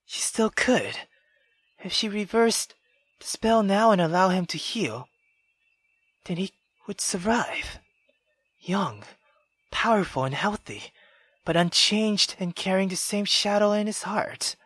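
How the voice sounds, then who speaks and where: A woman playing a visual novel, reading it aloud expressively and excitably, stumbling now and then. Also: medium pitch, slow, long pauses, no echo. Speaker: let's player.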